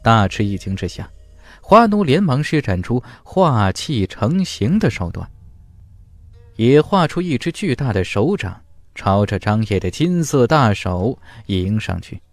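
A man tells a fantasy story with dramatic, emphatic delivery. His mid-pitched voice moves up and down in a lively way. The sound is dry, with faint music underneath. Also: measured pace, dry recording. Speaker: audiobook narrator. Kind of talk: dramatic scripted fantasy narration.

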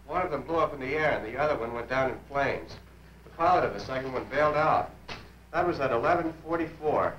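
A man's voice: medium pitch, quick pace, a bit bright. Serviceman on echoey old film. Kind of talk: military debriefing exchange. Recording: echoey, dull 1940s film with faint noise.

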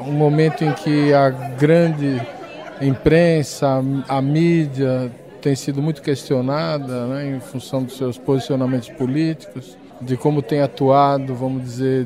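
A man's voice: medium pitch, flat delivery, measured pace. A man with a Brazilian accent, a professor being interviewed, hesitantly searching for words as he answers. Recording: busy crowd noise behind interview.

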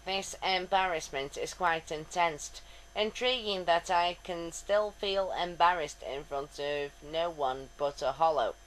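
A woman's voice: lowish voice, unhurried, a bit bright, a little hiss or hum, low-quality recording. A woman voicing a formal, stiff male android officer who speaks precisely, without contractions.

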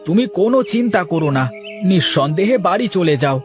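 A man voicing an excitable, vengeful farmer, an elder brother in a cartoon fairytale, with emphatic delivery. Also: high-pitched, a little fast. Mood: indignant, vengeful. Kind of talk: animated moral fairytale dialogue. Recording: music behind the voice.

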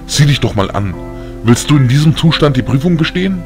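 A man voicing a strict, gruff but loving old grandfather who lectures sternly in an audio story. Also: fairly high pitch, lively intonation, brisk, music in the background, lightly acted. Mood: stern, disappointed.

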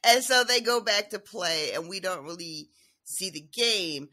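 A man's voice: high-pitched, expressive, a little slow, pushed and bright. A man co-hosting a podcast, recapping loosely with many fillers and laughs. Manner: rambling, full of fillers and laughs. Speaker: podcast co-host. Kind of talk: casual recap chat about a TV episode.

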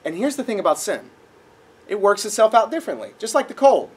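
A young man preaching a sermon in a dark auditorium, a little nasal from a cold, animated and acting out voices in his stories. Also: sits high, fairly quick, breathy edge. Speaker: pastor. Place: dark auditorium with projected screen.

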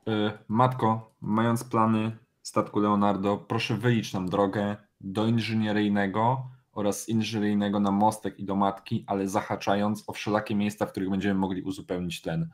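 A man's voice: lowish voice, little pitch movement, normal speed, few pauses. A man playing a tabletop role-playing game, talking through plans in character.

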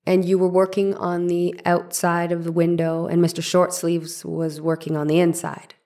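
A woman's voice: on the low side, level tone, moderate pace, mellow, lightly acted. A woman playing an insistent 1890s defense lawyer in a trial reading, questioning methodically and pressing for answers. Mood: probing. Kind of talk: dramatic reading of trial transcript.

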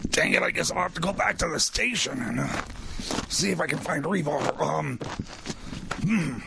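A man's voice: a little high, moderate pace, vocal fry, heavy background noise. A man playing a bewildered, hesitant mechanic in a comedy radio play, stammering and muttering to himself, with music behind him. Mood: puzzled.

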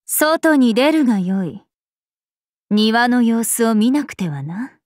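Casting: ageless regal game princess, haughty and composed, measured pace, slightly high voice. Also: female voice, lively intonation, darkish tone, no echo, clean studio sound. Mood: aloof, mildly chiding. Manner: imperious, archaic, composed, aloof. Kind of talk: Japanese mobile game character voice lines.

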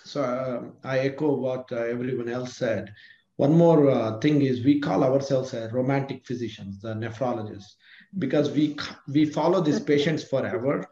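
A man's voice: mid-range voice, moderate pace, dark tone. A man speaking as a kidney specialist on a video call, encouraging and reassuring. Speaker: nephrologist. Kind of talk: panel discussion. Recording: roomy, dull video call audio.

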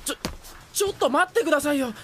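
A woman's voice: high-pitched, lively intonation, quick pace, a bit bright. A voice actress playing a timid, earnest teenage boy who is new to boxing, hesitant and polite in an anime. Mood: flustered. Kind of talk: anime dub acting. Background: background music.

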